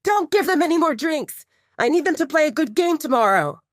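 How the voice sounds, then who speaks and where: A woman voicing a gruff, protective young male coach in an audiobook drama, commanding and grumbling. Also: sits high, big pitch swings, fairly quick, dry recording, clean studio sound, voice pushed up. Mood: shouting, stern.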